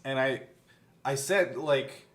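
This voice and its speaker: Mid-pitched man explaining haltingly. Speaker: game master and podcast co-host. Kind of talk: casual explanatory chat.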